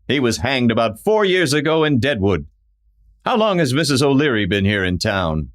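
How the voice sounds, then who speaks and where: A man's voice: mid-range voice, very animated, moderate pace, lightly acted. A man playing an upright, commanding masked western hero, stern and formal, in an old-time radio drama. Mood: stern accusing.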